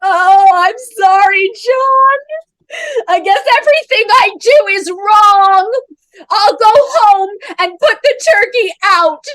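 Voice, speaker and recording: Squeaky whiny wife, nags and needles, radio comedy. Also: female voice, slow, a bit bright, exaggerated voice, poor audio. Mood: nagging. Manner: whiny, plaintive, teasing. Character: whiny nagging wife at bedside. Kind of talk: comic radio play acting.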